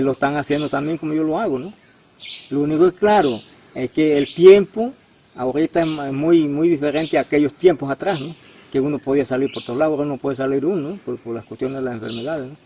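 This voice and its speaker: Activist guy remembering, hesitant, keeps saying "no?", bit high voice, faint birds behind. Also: warm tone, a little hiss or hum. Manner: halting, many restarts and tag questions. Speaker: community activist. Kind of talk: testimonial interview.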